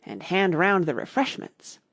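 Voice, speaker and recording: Little girl, woman narrator performing: polite, eager, earnest storybook heroine, animated pitch. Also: unhurried, no echo, full character voice. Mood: musing, proud. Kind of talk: audiobook storybook character.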